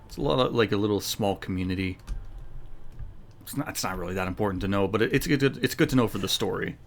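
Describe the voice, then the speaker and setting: A man's voice: lowish voice, fast, slight background noise. A man giving casual, laid-back playthrough commentary on a game, rambling a little and sometimes narrating aloud. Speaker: gaming streamer.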